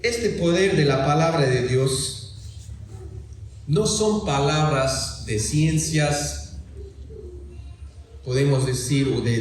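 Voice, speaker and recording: Slow-speaking German-native man preaching, very echoey. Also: a little high, recorded from a distance. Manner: halting, deliberate delivery in a second language. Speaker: guest preacher at a church gathering. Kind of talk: sermon with storytelling. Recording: very echoey hall, noticeable background noise.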